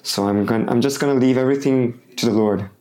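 Hoarse pastor with a cold, halting sermon. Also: male voice, medium pitch, dark tone. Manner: halting, with frequent restarts and fillers.

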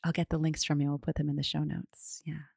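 A woman hosting a folklore podcast, interviewing her guest in an enthusiastic, thinking-aloud way that often climbs in pitch for emphasis. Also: level tone, quick pace, gravelly, darkish tone, slightly rough, no room sound, clean studio sound. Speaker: podcast host. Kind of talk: conversational interview.

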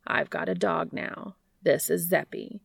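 A shy and awkward recluse of a man, read by a woman for an audiobook, deadpan and hesitant. The voice is low with very heavy creak, recorded dry. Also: measured pace, no echo, exaggerated voice. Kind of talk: audiobook character dialogue.

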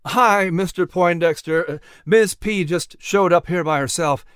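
A man's voice: sits high, unhurried, fairly bright tone, clean tone, dry recording, studio quality. A man playing a polite, helpful male character in a storybook, friendly and a little hesitant.

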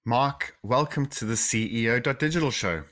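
A man's voice: mid-range voice, moderate pace, a bit bright. A man hosting a podcast in a conversational style, introducing and questioning as he goes. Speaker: podcast host.

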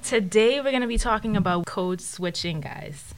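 A young woman from the Bahamas with a Bahamian accent, hosting a student podcast in a relaxed, chatty way. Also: mid-range voice, moderate pace, faint music behind, rough recording. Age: young adult. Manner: relaxed, chatty, many "like" fillers. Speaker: podcast host. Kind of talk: casual chat.

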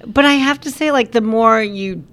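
A woman from the Philippines, raised in South Carolina, co-hosting a podcast with teasing quick questions. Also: on the high side, fairly bright tone. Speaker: podcast co-host. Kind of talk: casual chat.

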